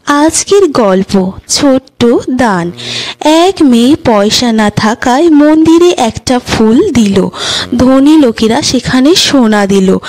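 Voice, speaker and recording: A woman reading short children's moral stories as scripted narration, in a measured, deliberate storytelling manner. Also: sits high, a little mellow, poor audio. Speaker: storyteller and channel host.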